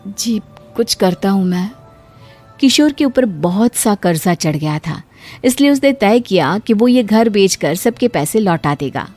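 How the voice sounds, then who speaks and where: A woman's voice: mid-range voice, darkish tone. A woman narrating a scripted emotional moral story as a storyteller. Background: background music.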